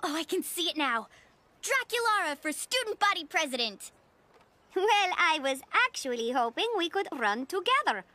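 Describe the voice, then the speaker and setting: A woman's voice: very high voice, sing-song, normal speed, pushed and bright, full character voice. A woman voicing a peppy, eager teenage monster girl in a cartoon, theatrical and enthusiastic, with music behind her. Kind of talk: animated cartoon acting.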